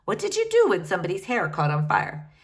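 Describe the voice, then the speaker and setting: A woman's voice: mid-range voice, huge pitch swings, brisk, gravelly. A woman reading a children's novel aloud, shifting her voice for each character as she goes. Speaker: reader.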